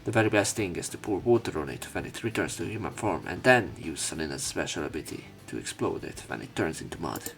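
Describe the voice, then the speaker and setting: A man with a low, creaky voice reads game text aloud as let's play narration. His delivery is dramatic, giving the dialogue acted urgency. Game music runs behind his voice.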